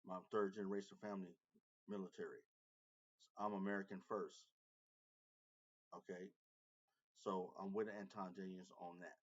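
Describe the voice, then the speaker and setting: Low-voiced man reacting to a clip. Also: level tone, moderate pace, long pauses, lo-fi sound. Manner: repetitive, restarts, emphatic. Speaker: reaction video host. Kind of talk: casual commentary on a clip.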